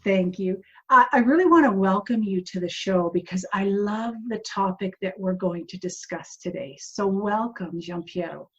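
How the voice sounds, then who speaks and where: A woman's voice: medium pitch, moderate pace, hardly any pauses, a bit bright, slightly rough. A woman hosting a radio talk show as a life coach, welcoming her guest in a friendly, conversational way. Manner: welcoming, scripted intro then conversational. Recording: dull lo-fi sound with faint music.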